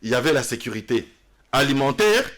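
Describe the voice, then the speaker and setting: Animated Congolese man, lively pitch. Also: brisk. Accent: Congolese French. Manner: animated, mixes French and Lingala, restarts often. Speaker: politician guest. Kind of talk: interview answers.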